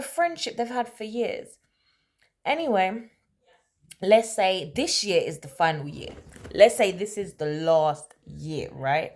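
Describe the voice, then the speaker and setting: A woman telling a story in a casual, conversational way, animated and emphatic, often checking in with rhetorical questions. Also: mid-range voice. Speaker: storyteller, host of a story-time series.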